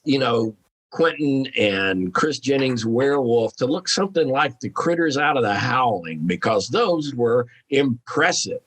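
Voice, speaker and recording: A man's voice: mid-range voice, expressive, a little slow, fairly bright tone. A man speaking as a guest in a casual discussion, emphatic and enthusiastic. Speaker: podcast guest, author.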